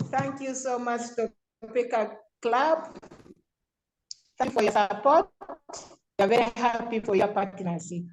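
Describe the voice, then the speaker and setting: A woman's voice: on the high side, unhurried, long pauses, background noise. A woman with a Ugandan accent speaking informally as the president chairing an online club meeting. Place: remote video call.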